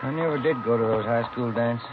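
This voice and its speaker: An actor playing an easygoing police detective partner with an American accent, dry and plainspoken, in 1950s radio drama. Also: male voice, mid-range voice, fairly quick, close to an everyday voice. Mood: wry, relaxed. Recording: muffled, noisy vintage radio recording.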